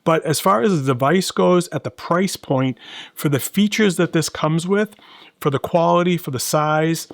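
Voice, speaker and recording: A middle-aged man hosting a casual, conversational product review, explaining things in a measured way and speaking to viewers. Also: on the high side, vocal fry, no room sound, clean studio sound. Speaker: gadget reviewer and host.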